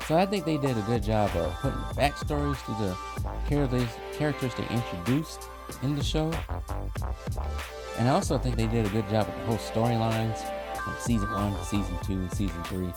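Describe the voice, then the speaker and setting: A man's voice: lowish voice, a little fast. A man hosting a review video in a casual, loosely phrased, opinionated conversational style. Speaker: host of an animation history video series. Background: background music.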